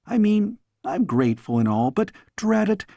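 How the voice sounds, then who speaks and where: A man's voice: high-pitched, very animated, normal speed, dark tone, no echo, clean studio sound, voice pushed up, full character voice. A man voicing a young princess, an earnest, chatty and headstrong daughter in a storybook. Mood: exasperated.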